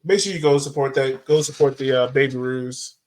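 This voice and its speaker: A man's voice: mid-range voice, a little fast. A man hosting a casual sports podcast, talking informally and in slang to his co-hosts and listeners. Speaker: podcast host.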